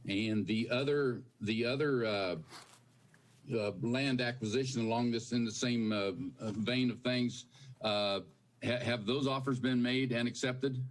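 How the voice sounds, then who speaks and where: A man serving as county commissioner asking halting questions at a meeting, with many fillers. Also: mid-range voice, steady tone.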